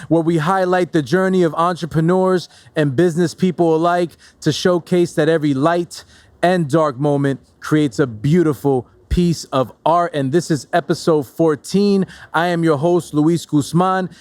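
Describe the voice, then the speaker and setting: A man's voice: a little high, steady tone, normal speed, darkish tone. A man hosting a casual podcast interview, upbeat and encouraging, often echoing his guest's answers. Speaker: podcast host.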